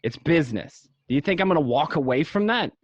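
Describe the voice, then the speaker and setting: Man playing cocky mobster husband, brash, very animated, radio drama, dry slightly dull recording. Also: a little high, a little fast, no echo. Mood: cocky, dismissive. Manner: brash, insistent. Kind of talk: radio play acting.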